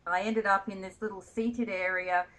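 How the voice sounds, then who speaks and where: A woman's voice: medium pitch, fairly even intonation, moderate pace, fairly bright tone, poor audio. A woman hosting an interview, chatty and rambling, laughing often and joking about her own pronunciation. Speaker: magazine editor and show host.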